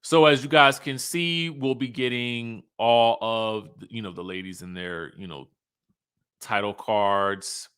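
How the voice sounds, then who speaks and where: A man's voice: lowish voice, takes it slowly, fairly bright tone, clean tone. A man hosting a reality TV commentary show, speaking in a conversational, emphatic, opinionated way, sometimes reading out cast bios.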